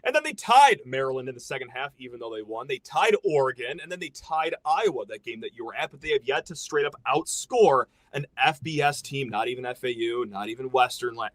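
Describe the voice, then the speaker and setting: A man's voice: mid-range voice, no echo. A man hosting a sports podcast, chatting casually and joking with his guest. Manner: animated, joking, quick asides. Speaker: podcast host.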